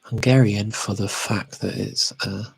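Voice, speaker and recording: Husky, gravelly man thinking aloud. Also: fairly low pitch, steady tone, measured pace, no echo, poor audio. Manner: hesitant, self-correcting, thinking aloud. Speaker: language expert answering audience questions. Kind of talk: casual unscripted live question-and-answer chat.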